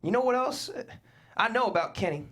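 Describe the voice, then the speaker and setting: A man's voice: high voice, animated, brisk, fairly bright tone. An actor playing a meek middle-aged father at a table read, stammering and sheepish, prone to sudden outbursts. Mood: resentful. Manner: stammering, sheepish, bursts out angry. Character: meek middle-aged dad, hobby crafter, Bert.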